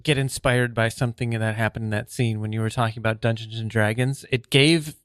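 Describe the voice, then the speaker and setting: A man in a casual group chat, an improv comedian who speaks haltingly and trails off as he thinks. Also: medium pitch, fairly even intonation, fairly bright tone, smooth, dry recording, studio quality. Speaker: improv comedian teaching on a podcast.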